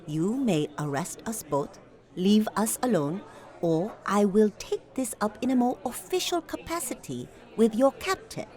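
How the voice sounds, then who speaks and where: A woman's voice: medium pitch, animated, a little slow, darkish tone, natural voice. A woman playing a poised, imperious lady in a noir audio drama, speaking formally with cool, clipped precision. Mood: cool, defiant. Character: poised, imperious, wealthy daughter of a doctor.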